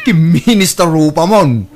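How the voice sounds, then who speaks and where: An actor with an Ilocano accent playing a smitten, eager young bachelor wooing in an exaggerated comic radio-drama style. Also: male voice, sits high, a little hiss or hum, heavily acted.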